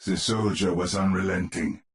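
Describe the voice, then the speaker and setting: An actor playing a grave old storyteller, narrating a gothic horror tale for a game in a theatrical, portentous, measured way. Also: male voice, low-pitched, fairly even intonation, natural voice. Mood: dramatic, portentous.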